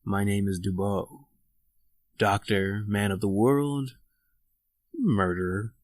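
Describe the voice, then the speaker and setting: An actor playing a worldly, self-possessed convict doctor and murderer, narrating a radio horror drama in an eloquent, formal, theatrical manner. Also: male voice, lowish voice, fairly even intonation, takes it slowly, dry recording. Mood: grave, ominous. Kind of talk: old-time radio horror drama acting, scripted narration.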